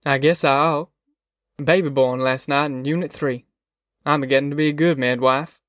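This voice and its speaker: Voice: mid-range voice, fairly even intonation, moderate pace, fairly bright tone, no echo, studio quality, natural voice. A reader voicing a tired, modest camp manager with a folksy, weary manner in an audiobook story.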